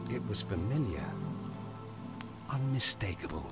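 A man narrating a scripted audio drama in a grave, deliberate, dramatic way. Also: fairly low pitch, big pitch swings, soft background music.

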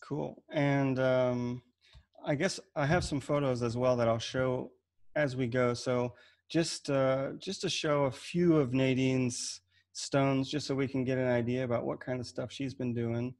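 A man in his thirties hosts an online panel over a video call, speaking at an even, middling pitch. He talks in a loose, relaxed way, often repeating a word before going on. The sound is plain webcam audio from a room at home. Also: unhurried. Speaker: webinar host and moderator. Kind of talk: casual online panel moderation. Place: home room with shelves of gems.

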